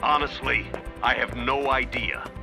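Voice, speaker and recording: An actor playing a stern, businesslike older colonel in a video game, briefing an agent. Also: male voice, mid-range voice, steady tone, unhurried, vocal fry. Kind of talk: dramatic video game voice acting. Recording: loud music under the voice.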